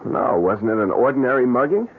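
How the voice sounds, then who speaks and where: Actor as a wary, excitable man, lively delivery. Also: medium pitch, brisk, slight background noise, close to an everyday voice. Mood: anxious. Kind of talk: old-time radio drama acting. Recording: muffled old radio broadcast recording.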